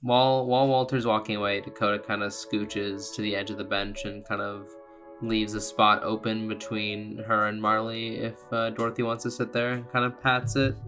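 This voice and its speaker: A man's voice: on the low side, level tone, moderate pace, bright, forceful tone, soft background music. A man playing in a tabletop roleplaying game, casually narrating and speaking for a character.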